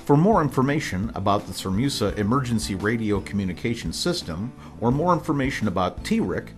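An American man reading scripted promotional narration in a formal, measured, informational manner. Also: medium pitch, very animated. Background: music bed.

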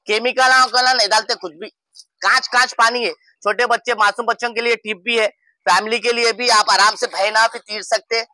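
Hyderabadi man in his thirties, hosting a promo walkthrough, high and very bright voice, lively and joking, dry close sound. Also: no room sound, rough recording. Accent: Hyderabadi Hindi-Urdu. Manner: lively and joking, often calling out to viewers. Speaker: host showing a farm guest house. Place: outdoor garden and indoor hall.

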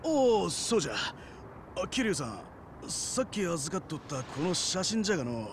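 High, creaky, folksy Hiroshima man. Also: big pitch swings, normal speed, background noise. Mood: friendly, animated. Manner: folksy, colloquial. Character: friendly, animated middle-aged local amateur ballplayer. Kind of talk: Japanese video game drama acting.

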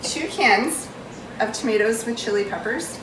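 A woman's voice: fairly high pitch, expressive, unhurried, heavy background noise. A woman in her forties with a New England accent, chatting casually and joking about herself as she walks through a chili recipe in her home kitchen. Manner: chatty and self-mocking, talks while stirring and pouring. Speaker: home cook hosting a cooking video. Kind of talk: casual cooking demonstration. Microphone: far camera on a tripod. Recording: roomy kitchen audio from a distant camera. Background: food sizzling in a pan.

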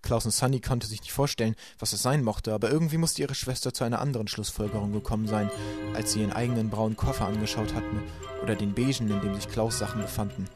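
A man reading a children's novel aloud as a hobby audiobook, lively and raising his voice for the characters. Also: quick pace, music in the background. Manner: lively storytelling, acts children's voices higher. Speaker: audiobook reader.

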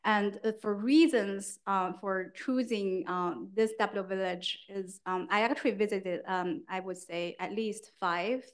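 A woman's voice: medium pitch, steady tone, measured pace, hardly any pauses, clean tone. A woman giving conversational academic answers as a speaker, often checking in with short tag questions. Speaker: keynote speaker, communication professor. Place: university lecture room.

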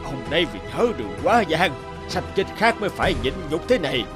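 An actor voicing a vain, spiteful middle-aged feng shui master in a cartoon folk tale, theatrical and grumbling. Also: male voice, fairly high pitch, sing-song, a little fast. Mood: irritated, resentful. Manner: theatrical, muttering complaints, falsely polite. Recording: music behind the voice.

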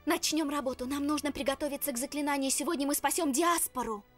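A woman's voice: high voice, fast, close to an everyday voice. A woman playing a bold, determined teenage fairy heroine in a dubbed cartoon, with an urgent delivery. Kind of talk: animated series dub acting. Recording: loud music behind.

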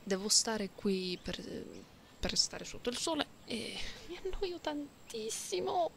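A woman voicing an affectionate, playful catgirl in an intimate, soothing ASMR roleplay, breathy and often dropping to a whisper. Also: mid-range voice. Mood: bored, wistful. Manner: soothing, teasing, lingering.

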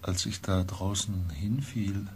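An actor playing a grave, haunted expedition member in a science fiction radio drama, speaking in slow, halting phrases. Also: male voice, low-pitched, fairly even intonation, slight background noise.

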